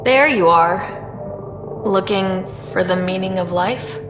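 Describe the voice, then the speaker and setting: Woman acting young space explorer lady, wry and steady, game dialogue, big echoey reverb, music behind. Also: mid-range voice, takes it slowly, natural voice. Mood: concerned, teasing.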